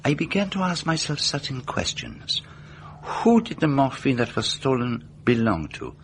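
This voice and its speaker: An actor playing a meticulous, self-assured detective in a radio mystery drama, setting out a case deliberately with rhetorical questions. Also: male voice, medium pitch, big pitch swings, a little hiss or hum. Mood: measured, revealing.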